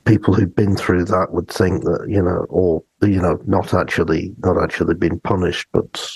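Male podcast co-host, gravelly and husky, conversational, close dry sound. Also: deep voice, level tone, moderate pace, dry recording, low-quality recording. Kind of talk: casual podcast discussion.

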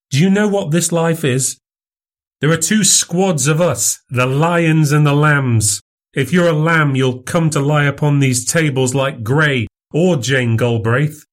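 A man voicing a smooth, cynical medical man who coaxes and mocks a younger accomplice in a storybook reading. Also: a little high, voice pushed up. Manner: coaxing, persuasive, mocking.

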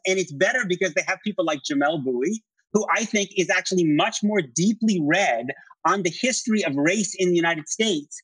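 A man's voice: on the high side, fairly bright tone, husky, no echo, rough recording. A middle-aged man arguing politics as an interview guest, insistent and often repeating phrases as he presses his point. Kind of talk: heated political debate.

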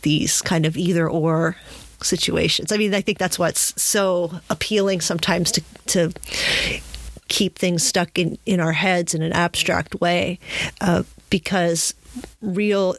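A woman's voice: lowish voice, steady tone, a little slow, dry recording. Woman, dry close-sounding podcast host. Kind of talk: casual reflective interview.

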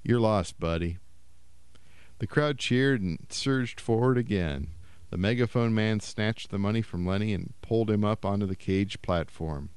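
A man reads a short story in a low, measured voice. His tone is smooth and resonant, and the recording is close and dry. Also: darkish tone, dry recording. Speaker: story reader.

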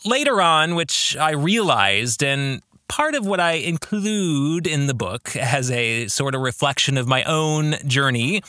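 A man hosting a reflective book discussion, speaking earnestly and thoughtfully to listeners and pausing between phrases. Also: fairly high pitch, animated, pushed and bright, dry recording, studio quality. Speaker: podcast host and author.

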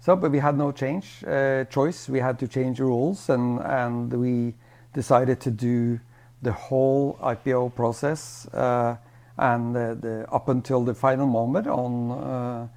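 Guy, exec leaving a voicemail comment, soft dark mellow tone, halting unscripted business talk. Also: medium pitch, fairly even intonation.

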